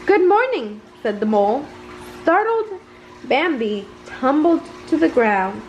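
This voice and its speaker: A woman reads a bedtime storybook aloud in a very high voice. She goes slowly, with lively swings in pitch and the odd halting slip. There is some watery background noise. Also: poor audio. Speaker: storybook reader.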